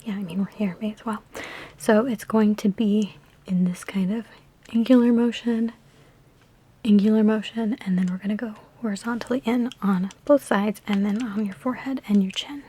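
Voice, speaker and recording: A woman in an ASMR roleplay speaking in a soft, breathy whisper mixed with hushed talk, with a sassy, teasing, chatty big-sister manner, close to the microphone. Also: mid-range voice, fairly even intonation, normal speed. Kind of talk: ASMR personal attention roleplay.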